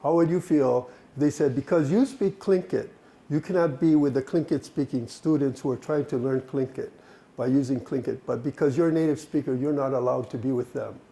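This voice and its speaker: A man's voice: medium pitch, a little fast, warm tone. A man leading a workshop session, talking animatedly, laughing and asking the group questions. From Hawaii. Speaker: workshop presenter. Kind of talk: interactive workshop talk.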